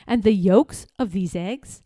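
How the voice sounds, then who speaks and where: A woman reading a children's story aloud in a theatrical, rhythmic way, as expressive storytelling. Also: medium pitch, a little slow, no room sound, studio quality. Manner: theatrical, rhythmic rhyming delivery. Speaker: storybook reader.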